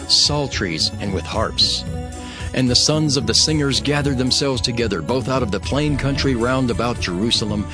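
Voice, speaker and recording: Low-voiced man narrating scripture over music. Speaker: audio Bible narrator. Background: music under the voice.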